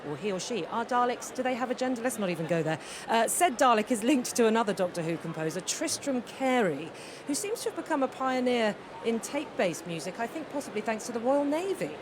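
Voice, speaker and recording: Enthusiastic woman radio presenter, noisy venue. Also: medium pitch. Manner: chatty, enthusiastic. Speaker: radio presenter interviewing guests. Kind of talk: live broadcast interview. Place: large concert hall, during an interval. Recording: background noise of a busy venue.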